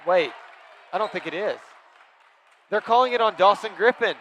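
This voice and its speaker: A man calling high school basketball play by play, quick and excitable on big plays. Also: some chatter behind, slight background noise. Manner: rapid, excitable calls rising on big plays. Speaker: play-by-play announcer.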